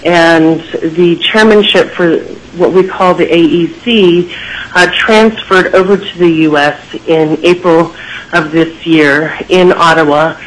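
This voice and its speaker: A woman with a stuffy, congested voice, giving measured formal testimony to a legislative committee. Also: lowish voice, fairly even intonation, a little hiss or hum, rough recording. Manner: measured, frequent "uh" pauses while listing names. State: stuffy nose from a sinus infection. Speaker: corporate executive testifying to a legislature.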